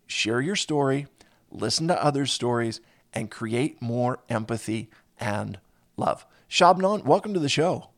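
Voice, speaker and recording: A man's voice: medium pitch, animated, unhurried. A man hosting an interview podcast, earnest and given to restarting his sentences. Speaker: podcast host. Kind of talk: casual interview chat.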